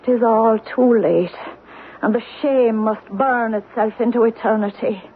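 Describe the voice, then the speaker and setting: Slow, brooding old Irish woman. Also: fairly high pitch, fairly even intonation. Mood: doleful, grave. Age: older. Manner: lilting archaic dialect, brooding. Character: tiny doleful old Irish cottage woman, mysterious and insistent. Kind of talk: old-time radio horror drama acting. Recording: tinny old radio broadcast, faint noise.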